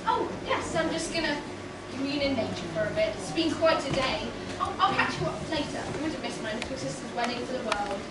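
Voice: sits high, expressive, brisk. An amateur performer playing a clumsy, lonely young man in a stage pantomime. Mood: sulky, dejected. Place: theatre stage, recorded from the audience. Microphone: far camera. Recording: distant echoey theatre recording, noisy audience.

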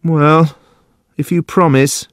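A man's voice: fairly high pitch, steady tone, slow pace, no echo, studio quality, voice pushed up. A man voicing a nervous, strange, defensive younger farmhand in an audiobook story. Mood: defensive, secretive.

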